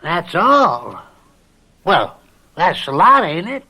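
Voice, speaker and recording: A sly old country uncle, in a radio mystery play. His voice is bright and fairly high, rambling along in a folksy, jovial way while he bosses everyone around. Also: very animated, slow, full character voice. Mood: prodding. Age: older. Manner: folksy, rambling, chuckling hard bargainer. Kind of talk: radio mystery drama acting.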